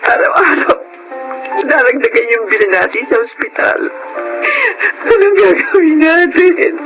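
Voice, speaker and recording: A woman's voice: high voice, big pitch swings, unhurried, a bit bright, faint music behind, heavily acted, low-quality recording. An actress playing a worried mother, anxious and pleading over her sick child in a radio drama. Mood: distressed.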